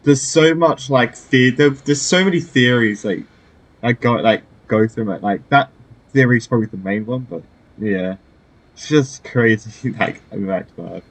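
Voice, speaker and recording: Man casually reacting during gameplay, mid-pitched. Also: a little slow, rough recording. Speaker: gameplay streamer. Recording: faint game music behind.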